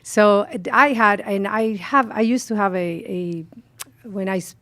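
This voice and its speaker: A middle-aged woman whose first language is Spanish speaks with a Dominican accent. She tells her story in an earnest, heartfelt way, with many "you know"s. Her voice sits at an ordinary pitch and is recorded close and dry, with no room echo. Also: measured pace, a bit bright. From Dominican Republic. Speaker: guest. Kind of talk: personal testimony in a talk show interview.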